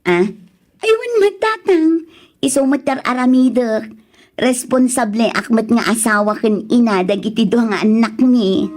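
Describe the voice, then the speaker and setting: A woman playing a dutiful, anxious married mother of two in a radio drama, with a drawn-out, pleading delivery. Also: on the high side, expressive, a little mellow. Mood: defensive.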